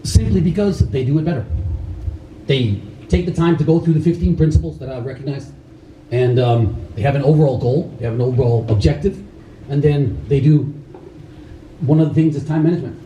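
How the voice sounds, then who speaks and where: A man's voice: on the high side, brisk, warm tone, slight background noise. A man raised in Denmark, a karate instructor talking loosely to a group, full of fillers and restarts. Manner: loose, rambling delivery with frequent fillers and restarts. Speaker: karate instructor speaking at a camp. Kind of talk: talk to a seated group. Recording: roomy hall sound from a distance.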